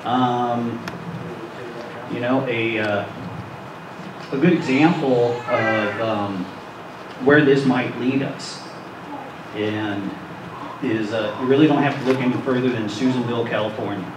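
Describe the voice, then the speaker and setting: A man speaks at a public hearing as a local councilman, at a medium pitch. He is deliberate and halting. The microphone is far away in an echoey room with clear background noise. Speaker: city councilman giving public testimony. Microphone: distant camera microphone.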